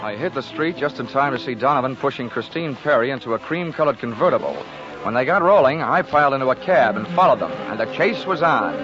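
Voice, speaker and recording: An actor as a sardonic hard-boiled 1940s radio detective. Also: male voice, mid-range voice, animated, fairly quick, natural voice. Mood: wry narrating. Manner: dry, sardonic. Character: wisecracking hard-boiled male insurance investigator, cool and cocky. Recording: muffled 1940s radio recording, music behind.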